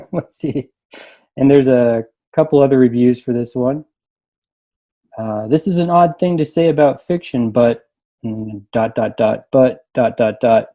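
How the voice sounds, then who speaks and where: A man's voice: medium pitch, a little slow, warm tone. A man who works as a librarian, reading aloud and chatting casually on a panel. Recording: dull, muffled lo-fi sound.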